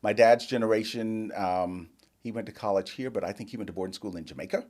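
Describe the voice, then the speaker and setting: An older man who grew up in the Bahamas, a guest in a casual interview, telling his life story with lively quoted dialogue. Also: lowish voice, quick pace. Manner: storytelling, animated quoting of others.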